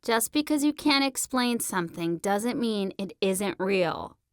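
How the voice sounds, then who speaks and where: A woman playing a sharp, argumentative sixteen-year-old girl in an audiobook, speaking in a slangy, emphatic, insistent way. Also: mid-range voice, animated, measured pace, clean tone, dry recording, clean studio sound, lightly acted. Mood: questioning.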